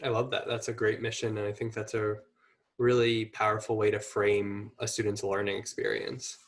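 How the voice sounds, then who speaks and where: A man's voice: on the low side, level tone, normal speed. A young man interviewing a guest over a video call, speaking in a friendly, affirming, casual way. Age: young adult.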